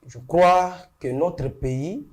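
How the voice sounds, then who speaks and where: A man, a talk show guest answering in a slow and solemn way. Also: fairly high pitch, darkish tone. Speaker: studio guest. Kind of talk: political talk show discussion.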